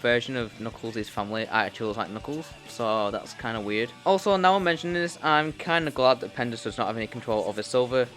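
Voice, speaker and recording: A man's voice: medium pitch, animated, fast, few pauses, a bit bright. A man hosting a YouTube commentary video, talking in a blunt, informal, sweary way as he reads a loose script. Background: music.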